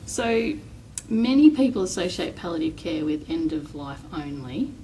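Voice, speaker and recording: A woman's voice: low voice, very animated, normal speed, mellow, distant mic, slight background noise, rough recording. A woman hosting an interview, asking questions and affirming her guest's answers with frequent backchannels. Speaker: interview host. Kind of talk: hosted interview.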